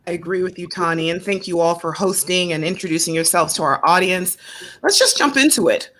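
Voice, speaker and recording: Woman, panel host, bright voice, playful, emphatic. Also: on the low side, a little fast. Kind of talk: panel discussion hosting.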